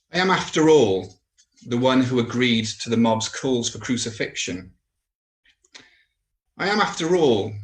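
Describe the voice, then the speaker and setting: A man's voice: mid-range voice, close to an everyday voice. A man playing a contemptuous, haunted Roman official in a dramatic monologue, speaking with emphatic, rhetorical scorn. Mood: bitter contempt. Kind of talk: dramatic monologue in a church service.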